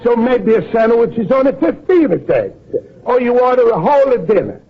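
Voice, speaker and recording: Excitable high-voiced Italian restaurant keeper. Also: male voice, normal speed, full character voice. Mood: wheedling. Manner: emphatic, persuasive broken English. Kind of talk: old-time radio comedy acting. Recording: dull, noisy vintage radio recording.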